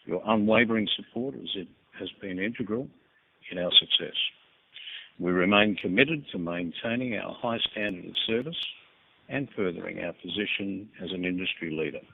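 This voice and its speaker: A man with a gravelly, husky voice speaking formally as a company executive on an earnings call. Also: low voice, normal speed. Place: conference call. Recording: narrow phone-line sound.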